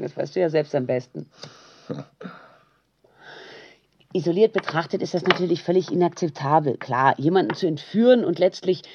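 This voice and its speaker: Husky, combative woman on tape. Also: low-pitched, darkish tone. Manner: insistent, challenging with pointed questions. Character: exasperated, combative female partner with radical political views. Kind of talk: naturalistic radio play dialogue. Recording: dull, lo-fi home tape sound.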